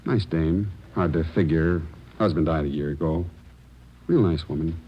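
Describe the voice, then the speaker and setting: A man's voice: extremely low pitch, moderate pace, slightly rough. A man acting a cool, guarded underworld figure in an old radio crime drama, with clipped, evasive delivery. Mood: guarded, matter-of-fact. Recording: dull, noisy old radio recording.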